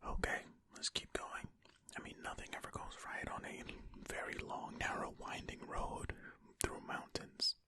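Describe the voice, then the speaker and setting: Soft ASMR whisperer reading a story. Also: unhurried. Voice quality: soft breathy unvoiced whisper. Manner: musing asides, conversational. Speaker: ASMR host. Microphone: close mic, ear to ear.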